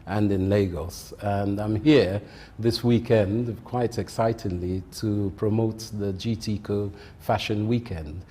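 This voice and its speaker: Nigerian man, low and muffled. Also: fairly even intonation, warm tone, breathy edge, long reverb tail. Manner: measured, with frequent ums. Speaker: guest, content creator and fashion designer. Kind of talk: TV interview answers.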